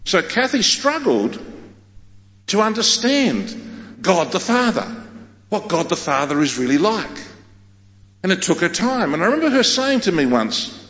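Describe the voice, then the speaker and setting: Animated preacher in an echoey hall. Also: male voice, sits high, a little slow, pauses often. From Australia. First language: Greek. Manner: emphatic preaching with repeated phrases. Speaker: pastor. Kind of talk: sermon. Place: large church hall. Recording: echoey hall, dull sound.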